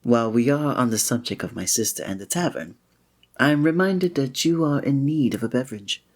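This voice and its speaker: Man doing flirty wry innkeeper, ASMR roleplay, breathy airy voice, mid pitch, formal olden speech, chuckles. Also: lively intonation, a little fast, natural voice. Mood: amused. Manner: formal old-fashioned wording with chuckling asides.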